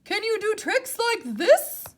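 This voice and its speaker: Woman voicing an excitable, very high-pitched storybook toad. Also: slow pace, exaggerated voice. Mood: boastful. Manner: crying out, shouting, dramatic. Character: excitable, boastful, easily frightened male toad. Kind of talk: children's storybook character voice.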